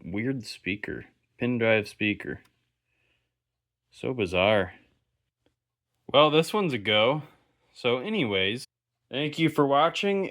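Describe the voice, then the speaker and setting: A man's voice: medium pitch, fairly bright tone. A man hosting an electronics video, giving casual, unscripted commentary and rambling as he thinks aloud. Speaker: host of a vintage electronics inspection video.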